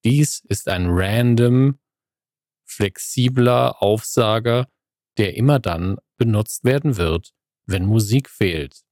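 A man's voice: lowish voice, slow, darkish tone, no room sound. A man co-hosting a podcast, talking in a dry, self-mocking way. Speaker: podcast co-host. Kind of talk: casual podcast chat and recorded spoken insert.

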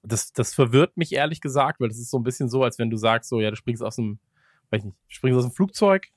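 A man hosts in a loose, conversational way, with a mid-pitched voice. He rambles from thought to thought and slips in ironic jokes. The sound is dry, with very little room in it. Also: quick pace, dry recording. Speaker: podcast host. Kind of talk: casual chat.

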